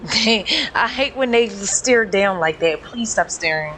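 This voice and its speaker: A woman's voice: mid-range voice, lively intonation, slow pace, fairly bright tone, a little hiss or hum, rough recording. A young woman giving casual game commentary in a slangy, teasing, amused way. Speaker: game streamer. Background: game music.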